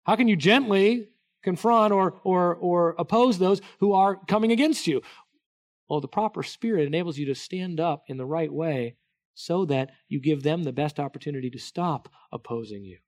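A middle-aged man preaching a sermon, earnest, dropping to a hush and then rising to emphatic peaks, with many restarts. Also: a little high, moderate pace. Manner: earnest, wide swings between soft hushed and raised emphatic delivery; many restarts and repeated words. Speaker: pastor.

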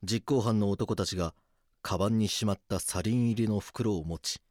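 A very low-voiced actor playing a male detective narrates a true-crime radio drama. His delivery is grave and measured, like a solemn documentary account. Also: darkish tone, no echo, studio quality, lightly acted.

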